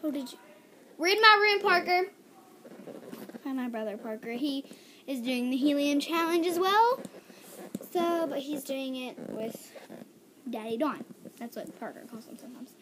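A woman's voice: sits high, animated, measured pace, long pauses, a bit bright. A girl of about eleven chatting casually as she hosts a homemade challenge video. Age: child, about 10-12. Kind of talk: casual kids' vlog chat. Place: small bedroom at home. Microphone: phone or tablet camera nearby. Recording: noisy home phone recording.

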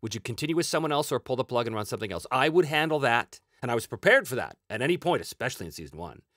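Relaxed Canadian man chatting on stream. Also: medium pitch, very fast, no echo, studio quality. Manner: rambling, chuckling, relaxed. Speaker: host answering viewer questions. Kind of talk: casual chat. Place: small room at home. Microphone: headset-style, webcam framing.

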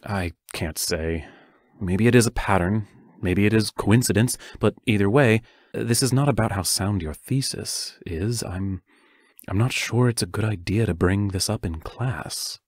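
A serious male teacher played by an actor in an audio play. His voice is on the low side and recorded dry and close. He is cautious and protective, choosing his words formally. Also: no room sound. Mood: grave, cautious. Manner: measured, formal, careful phrasing. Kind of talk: audio drama acting.

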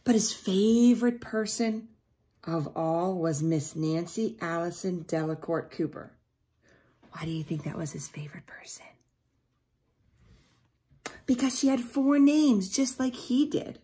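A middle-aged woman reads a storybook aloud in a fairly low voice. She tells it deliberately, as a teacher would to young children, and breaks off to put questions to her listeners. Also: mellow. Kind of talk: picture-book read-aloud with asides to children. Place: small indoor room at home.

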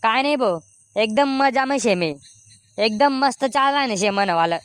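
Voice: mid-range voice, lively intonation, normal speed, a bit bright, clean tone, no echo, studio quality, close to an everyday voice. A performer voicing a boastful, defensive village man in a comedy cartoon, with a Khandeshi Ahirani accent. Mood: cheerful.

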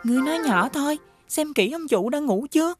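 A woman voicing a tiny, kind-hearted shoemaker who leads others in a friendly, earnest way in a children's animated fairy tale. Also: sits high, a little fast, over music. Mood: urging.

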